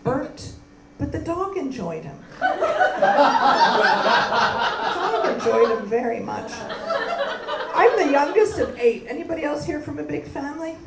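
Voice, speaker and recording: A woman from Canada doing a stand-up comedy set, delivering deadpan punchlines and bantering with the crowd on a club stage. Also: high-pitched, a little slow, creaky, long pauses. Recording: roomy live club sound from a distance with crowd laughter.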